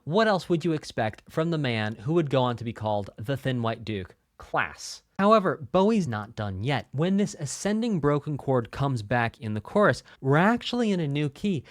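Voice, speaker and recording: A man's voice: mid-range voice, dry recording, studio quality. A man giving an explanatory music theory lesson as a video host, talking in a conversational, emphatic teaching manner.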